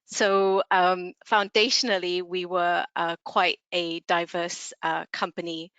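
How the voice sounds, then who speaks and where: Smooth, upbeat woman presenter from Malaysia, dry muffled call audio. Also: fairly low pitch, level tone, slow pace, fairly bright tone, no room sound. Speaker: head of communications. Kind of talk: webinar presentation. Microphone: video call.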